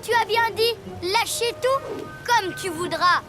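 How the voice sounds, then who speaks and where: A woman's voice: extremely high pitch, unhurried. A woman voicing a boastful child ninja villain in a cartoon, sneering and pompous as the figure mocks others. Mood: mocking. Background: music.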